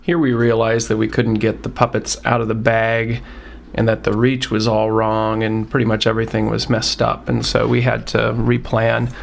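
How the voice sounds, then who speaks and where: A man's voice: fairly low pitch, monotone, normal speed, vocal fry. A man giving informal, encouraging instructional narration as a puppetry teacher, in a conversational way.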